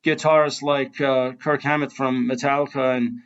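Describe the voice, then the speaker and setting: A man's voice: mid-range voice, level tone, normal speed, fairly bright tone, a little hiss or hum. A man with an Iranian accent hosting a casual interview, enthusiastic and admiring. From Iran. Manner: enthusiastic, admiring, chuckles often. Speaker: host. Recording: dull lo-fi sound.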